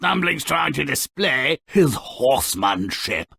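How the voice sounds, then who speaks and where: An actor playing a cynical, gleefully morbid, grumpy dwarf storyteller in a video game, with a raspy, gravelly voice and a pompous, sardonic, theatrical delivery. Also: male voice, medium pitch, big pitch swings, sharp tone, no room sound, clean studio sound, exaggerated voice. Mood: scheming, sardonic. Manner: sardonic, pompous wordy, mock-dramatic swoops and drawn-out endings. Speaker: narrator character. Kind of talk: theatrical scripted game narration.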